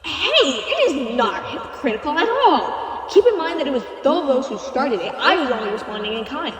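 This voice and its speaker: Woman acting purgatory guide, high and echoey. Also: sing-song, moderate pace. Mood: irritated, snappy. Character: tour guide of purgatory, by turns snappy and soothing. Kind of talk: audio roleplay voice acting. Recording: roomy, echoing, reverberant sound.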